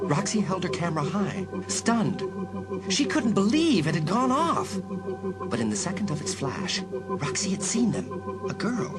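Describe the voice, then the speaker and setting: Male suspense narrator with animated delivery. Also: sits high. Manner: measured, dramatic pauses. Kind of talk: suspenseful dramatised audiobook narration. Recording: loud music behind.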